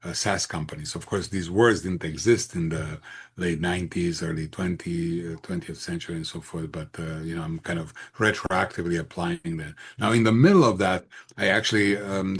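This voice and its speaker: A man in his sixties speaks with a Romanian accent in a very low, creaky voice with a husky grain. He answers as an interview guest in a conversational way, pausing often as he pieces his phrases together. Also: steady tone. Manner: halting, hesitant phrasing with frequent pauses.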